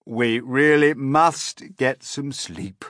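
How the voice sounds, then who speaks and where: A man voicing an old male grasshopper in a storybook, deep, slow, dignified and withering. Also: a bit bright, no echo, studio quality. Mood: weary, firm.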